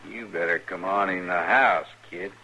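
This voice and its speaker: An American actor playing a menacing, mocking frontier outlaw in an old-time radio western, with a sneering, unhurried drawl. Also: male voice, deep voice, bright, forceful tone, muffled recording, slight background noise.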